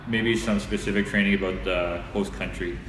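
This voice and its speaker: A man giving measured, explanatory answers in an interview. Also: sits low, steady tone, fairly bright tone. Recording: echoey reverberant room, clear background noise.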